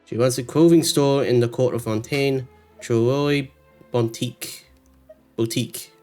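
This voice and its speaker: Offhand male streamer, middling pitch. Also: steady tone, unhurried, darkish tone. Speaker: game streamer. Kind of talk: casual live gameplay commentary. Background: game music.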